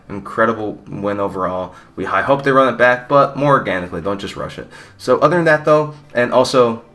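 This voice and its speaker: Blunt low-voiced man recapping fights. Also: a bit bright. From Mexico. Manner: blunt, rambling, opinionated, swears casually. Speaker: combat sports YouTuber. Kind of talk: casual fight recap commentary.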